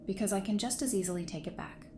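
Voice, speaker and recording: Woman acting a guarded, no-nonsense doctor, medium pitch. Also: quick pace, darkish tone, natural voice. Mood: firm. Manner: firm, dry, matter-of-fact. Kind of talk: audio drama dialogue. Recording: faint background noise.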